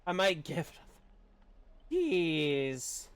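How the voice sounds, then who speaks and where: A man's voice: on the high side, big pitch swings, extremely slow, smooth. A man casually commenting live on a video game he is playing, as a streamer.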